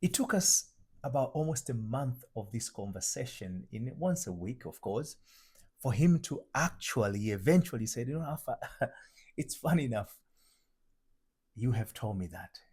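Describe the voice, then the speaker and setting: A man's voice: medium pitch, animated, moderate pace, darkish tone, slightly rough. A man from Tanzania, an identity transformation coach, giving a reflective motivational talk that circles back and restates its points. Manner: reflective, repeats and restarts phrases while building a point. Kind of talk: motivational teaching monologue.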